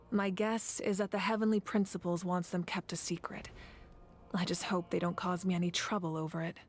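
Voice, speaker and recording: A woman playing a composed, resolute war leader and divine ruler in a video game, speaking in a measured, earnest, formal way. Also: on the low side, flat delivery, slight background noise, lightly acted. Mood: thoughtful. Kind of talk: video game character acting. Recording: faint music behind the voice.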